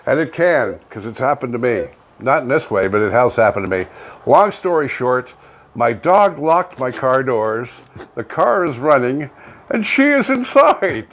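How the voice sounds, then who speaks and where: Canadian male, mid-pitched voice, very lively intonation, local show co-host, casual chat. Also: unhurried. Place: home studio.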